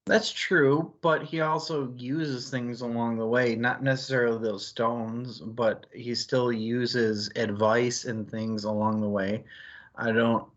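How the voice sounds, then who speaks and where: A man's voice: mid-range voice, fairly even intonation, takes it slowly, fairly bright tone, lo-fi sound. A man in a casual book discussion, rambling analytically and qualifying his points as he goes. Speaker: book club stream host.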